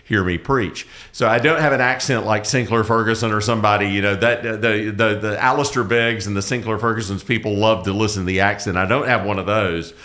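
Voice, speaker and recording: A middle-aged man, a pastor, talking casually about ministry in a loud, carrying voice, often restarting his sentences. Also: on the low side, fairly even intonation, fairly quick, few pauses. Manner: rambling with frequent restarts, laughs easily.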